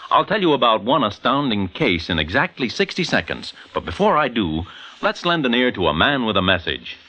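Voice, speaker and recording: A man's voice: medium pitch, very animated, brisk, pushed and bright, slight background noise, low-quality recording. An American man hosting in an emphatic, polished 1940s newsreel style on vintage radio. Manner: dramatic, emphatic, polished announcer delivery. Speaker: radio host and commentator.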